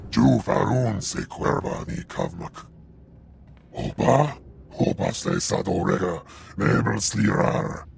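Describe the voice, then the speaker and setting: A man's voice: lowish voice, huge pitch swings, slow, creaky, background noise, full character voice. A man voicing a big, brutal alien cartel enforcer in a video game, menacing and growling in an invented alien tongue.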